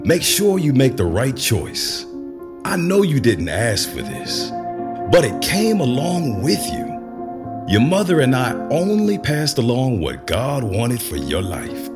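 A man playing a solemn middle-aged father in an audio drama, giving deliberate counsel to his grown son. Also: mid-range voice, huge pitch swings, over music.

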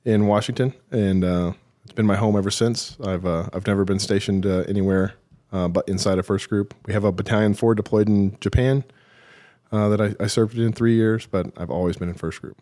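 A man being interviewed as a military veteran, telling his story haltingly with self-deprecating, dry humour. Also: on the low side, fairly even intonation, dark tone. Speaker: podcast guest, military veteran.

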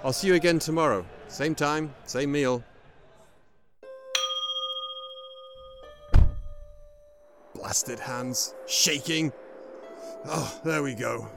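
A man plays a lonely old fellow in a 1940s-style radio drama. His voice sits a little high, his talk is folksy and worried, and music plays prominently behind him. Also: measured pace, gravelly. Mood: gruff, reassuring. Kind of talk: 1930s and 40s style radio drama acting. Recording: music playing over the voice.

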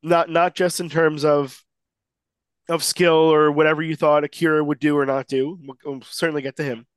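A man's voice: fairly high pitch, little pitch movement, moderate pace, clear, resonant tone, no room sound. A man co-hosting a sports podcast who is losing his voice and sounds a little nasal, talking emphatically with lots of restarts. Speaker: podcast co-host. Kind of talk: excited sports podcast chat.

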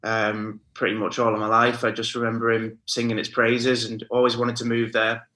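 A man's voice: mid-range voice, monotone, normal speed, pushed and bright. A man in his thirties with a Northern English accent, talking casually as a podcast guest and saying "mate" and "you know" often. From northern England. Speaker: guest, house music DJ and producer.

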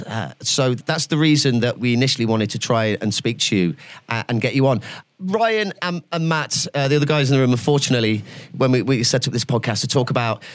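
A man's voice: mid-range voice, dry recording. A man hosting a podcast, asking questions in a chatty, rambling way. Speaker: podcast host. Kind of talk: casual podcast interviewing.

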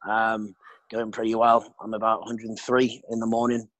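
A man's voice: on the low side, completely flat delivery, normal speed, fairly bright tone, dry recording, low-quality recording. A British man in his twenties, a podcast guest chatting casually, laughing often and swearing freely. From Britain. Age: 20s. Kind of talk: casual interview chat.

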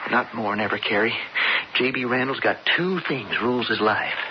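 An actor playing an earnest, intense young cowboy outlaw with folksy, insistent Western phrasing in a radio drama. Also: male voice, medium pitch, moderate pace, lightly acted. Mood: earnest, persuasive. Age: young adult. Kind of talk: old-time radio drama acting. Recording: muffled, noisy old radio recording.

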